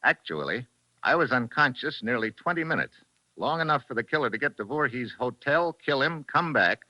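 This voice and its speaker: An actor playing a tough, wry American investigator in a clipped, matter-of-fact 1940s radio drama style. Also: male voice, mid-range voice, animated, fairly quick, pushed and bright, dry recording. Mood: confident, accusing. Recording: muffled vintage 1940s radio recording.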